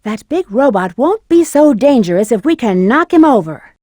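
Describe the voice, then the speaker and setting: A woman's voice: fairly high pitch, big pitch swings, moderate pace, warm tone, no room sound, clean studio sound. A woman voicing a confident, sly female bat treasure hunter in a video game, with a sassy manner. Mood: brisk, instructive.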